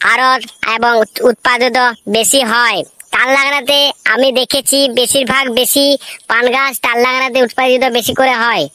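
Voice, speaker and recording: Casting: woman as earnest cartoon school pupil, high flat voice, halting and repetitive recitation. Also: no echo. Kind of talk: comic cartoon classroom recitation.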